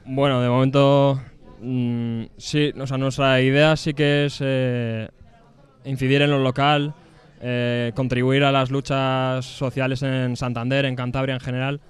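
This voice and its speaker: A man with an accent from Spain giving informal interview answers as a small-press publisher, hesitant and careful with many pauses. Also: medium pitch, level tone, smooth.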